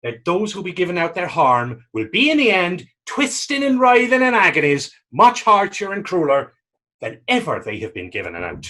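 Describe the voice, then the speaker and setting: A man playing a battered, pious and self-mocking fisherman in readers theater, telling his story in halting, folksy dialect. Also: sits high, wildly swooping pitch, pushed and bright, exaggerated voice. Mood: reflective. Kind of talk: readers theater dramatic dialogue.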